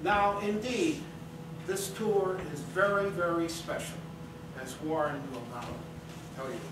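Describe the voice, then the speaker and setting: A man presenting a staged book reading, speaking in halting, deliberate short phrases. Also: sits high, recorded from a distance. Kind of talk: slow spoken introduction to a staged reading. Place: indoor theater, staged reading. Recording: echoey, noisy theater recording from off.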